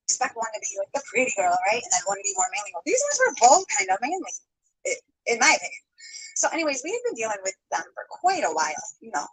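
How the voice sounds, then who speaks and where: A woman's voice: fairly high pitch, sing-song, quick pace, breathy edge, poor audio. A woman telling a rambling story, hedging often and breaking into little laughs. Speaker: storytime YouTuber.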